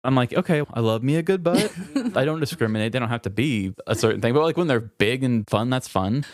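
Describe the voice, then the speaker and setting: A man's voice: mid-range voice, animated, fast talker, no room sound. American man, dry-sounding close recording. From United States. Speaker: podcast co-host. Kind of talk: casual comedic chat.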